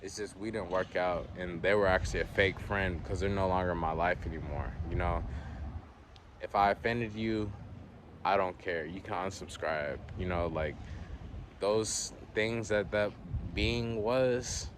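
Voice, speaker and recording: Southern guy rambling, low-ish voice, lots of "you know", some noise behind him. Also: unhurried. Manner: rambling, frequent "you know", laid-back. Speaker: vlogger. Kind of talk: unscripted monologue.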